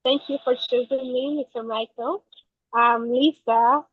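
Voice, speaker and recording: A woman's voice: high-pitched, steady tone, measured pace, slightly rough, dry recording. A teenage girl from the Dominican Republic, a student in an online class, giving a careful, earnest prepared answer. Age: teens. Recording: tinny phone-like audio.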